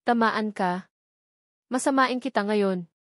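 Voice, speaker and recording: A nervous but hot-headed male fighter , read out by a woman narrator in a web novel. It is a middle-pitched voice in a very dry recording. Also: takes it slowly, dry recording, lightly acted. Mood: outraged.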